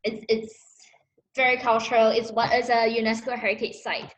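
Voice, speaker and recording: Young female dancer, higher register, relaxed and chatty over a video call with frequent fillers. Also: normal speed, slight background noise, poor audio. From Malaysia. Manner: relaxed, laughs often, many fillers. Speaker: interviewee, dancer. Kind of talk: casual interview answers.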